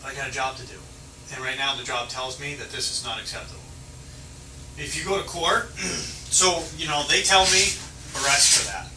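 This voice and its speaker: A man's voice: medium pitch, steady tone, moderate pace. A male police officer talking casually, explaining things in a conciliatory, conversational way. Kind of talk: casual roadside explanation to a detained person. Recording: noisy, roomy, distant mic.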